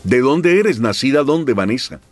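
A man's voice: medium pitch, animated, moderate pace, faint music behind. A man hosting a faith testimony program, greeting his audience and interviewing a guest in a formal broadcast manner.

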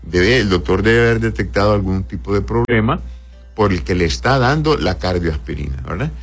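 A man's voice: lowish voice, lively intonation, normal speed, breathy edge, soft background music. A male doctor answering listeners' health questions in a patient, explanatory way, often checking "right?" and calling patients "my love".

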